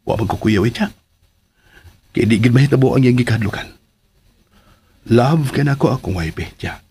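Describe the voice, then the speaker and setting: A man's voice: medium pitch, normal speed, a little mellow, husky. A man playing a devoted, tender young husband in a radio drama, with a Cebuano Filipino accent. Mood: earnest, devoted. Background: music.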